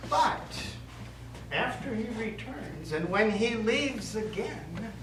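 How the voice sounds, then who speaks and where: Stage priest, male actor: gentle, pastoral, measured; animated intonation, heard from far off in an echoey room. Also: sits high. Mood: consoling. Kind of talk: stage play acting. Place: theatre studio stage. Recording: echoey stage, distant mic, noisy.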